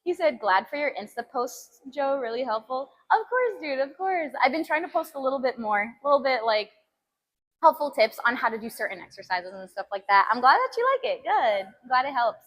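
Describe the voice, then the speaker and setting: A woman chatting casually with live viewers as a fitness stream host, giggly and animated as she talks between sets. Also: high voice, brisk. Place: gym, during a workout.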